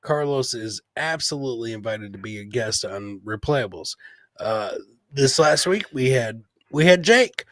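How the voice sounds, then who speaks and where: A man with a medium-pitched voice hosts a casual livestream chat. He talks informally and peppers his talk with swearing and buddy-like address. The sound is dry, with little room in it. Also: lively intonation, a little slow, a bit bright, no echo. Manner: informal, profane, says "man" and "brother" often. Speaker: podcast host.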